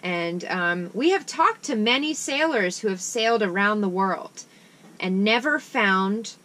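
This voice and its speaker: A woman's voice: medium pitch, animated, a little slow, a bit bright. A woman in her thirties, a sailing vlogger, chatting in a mock-serious way and finishing her partner's sentences, from a small wooden boat cabin. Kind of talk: deadpan satirical chat. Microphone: camera in front at close range.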